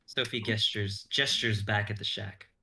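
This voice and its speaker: A man's voice: on the low side, fairly even intonation, moderate pace, bright, forceful tone. A young man reading scripted narration and stage directions as narrator. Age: young adult.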